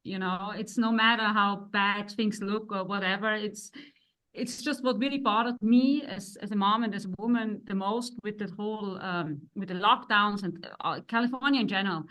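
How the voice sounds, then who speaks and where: A woman's voice: mid-range voice, moderate pace, a bit bright, low-quality recording. A woman, a guest in a casual interview, giving her opinions at length and often restarting her sentences. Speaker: guest, wife of the other guest.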